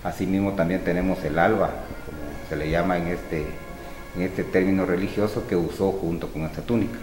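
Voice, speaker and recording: A middle-aged man, a museum spokesman explaining an exhibition in a measured, informative way. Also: deep voice, steady tone. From Guatemala. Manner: measured, informative, with small restarts. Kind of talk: explanatory museum tour talk. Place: museum hall with exhibits, indoors. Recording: echoey hall with music behind. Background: music.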